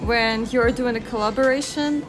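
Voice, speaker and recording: A young woman co-hosting a casual interview, chatting in a lively way and laughing often. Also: a little high, normal speed, over music. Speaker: co-host, musician. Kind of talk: casual conversation in English.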